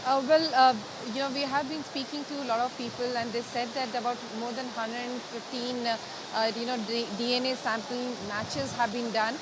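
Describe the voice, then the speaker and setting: A woman with an Indian accent reporting live as a field news correspondent, halting and wordy as she goes. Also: fairly high pitch, flat delivery. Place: outdoors outside a hospital mortuary in rain. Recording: noisy outdoor live link with rain and traffic.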